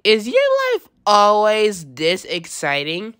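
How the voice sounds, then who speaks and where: A woman voicing an excitable, friendly young female lemur villager in an amateur comic dub, bubbly and eager. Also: medium pitch, slow pace, clean tone, voice pushed up. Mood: thrilled.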